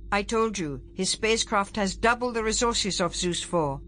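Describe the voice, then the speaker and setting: A woman's voice: medium pitch, animated, normal speed, a bit bright, pitched above the performer's own voice, close to an everyday voice. A woman voicing a careful, formal male space official in an audiobook, precise and slightly foreign in accent. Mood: reassuring.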